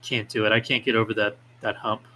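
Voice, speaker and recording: A man speaking casually as a podcast guest, with halting, hesitant phrasing. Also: fairly low pitch, level tone, pushed and bright. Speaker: podcast guest, independent game developer. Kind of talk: casual interview chat. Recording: clear background noise, room ambience.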